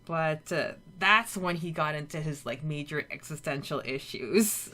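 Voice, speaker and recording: A woman with a slightly low voice co-hosts a podcast in a loose, conversational way. She recaps a story informally and slips into imagined lines as she goes. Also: expressive, a little slow, sharp tone. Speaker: podcast co-host. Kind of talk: casual chat, recapping and discussing a story.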